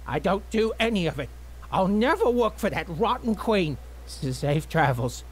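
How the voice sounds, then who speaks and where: A man's voice: high voice, sing-song, moderate pace, husky, exaggerated voice. A man playing a defiant, grumbling lone outsider in a video game. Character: defiant lone outsider resenting a rotten queen. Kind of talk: video game character dialogue.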